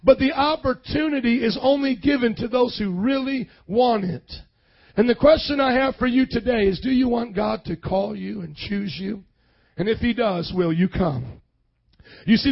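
A hoarse young man preaching a sermon, energetically calling out to the congregation for responses. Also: high voice. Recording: dull lo-fi sound.

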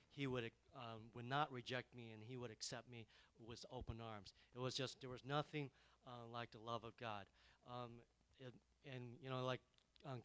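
A man gives a halting testimony in church, his speech full of ums. His voice is mid-pitched, smooth and resonant. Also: fairly bright tone. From Taiwan, raised in the USA. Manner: hesitant, many ums and uhs. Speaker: guest giving a testimony.

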